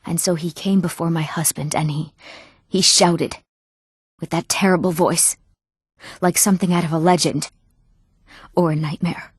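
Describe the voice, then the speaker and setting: A woman's voice: fairly low pitch, a little mellow, no room sound, studio quality, lightly acted. Earnest young actress as a fantasy game woman. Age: young adult. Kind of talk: video game character dialogue.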